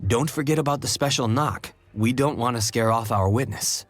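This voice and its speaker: A man's voice: medium pitch, normal speed, close to an everyday voice. A man voicing a determined detective in a mystery game, speaking earnestly and dramatically to a partner. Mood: confident. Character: determined male detective talking to his partner. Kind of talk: video game voice acting.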